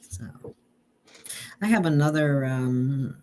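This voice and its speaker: A woman hosting a casual conversation, speaking haltingly with frequent pauses and restarts. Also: rumbling low, mellow, a little hiss or hum. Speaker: host. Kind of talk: casual chat.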